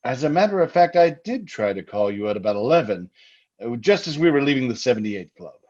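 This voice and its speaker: A man playing a soothing, patronizing, insistent husband with quiet menace in a radio suspense drama. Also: medium pitch, very animated, fairly quick. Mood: soothing, probing. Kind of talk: old-time radio suspense acting. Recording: slightly dull, lo-fi sound.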